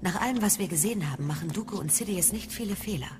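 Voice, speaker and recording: An actress playing a sober, sceptical Jedi master in a radio play, measured and cautionary. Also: female voice, mid-range voice, animated, darkish tone, natural voice. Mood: wary.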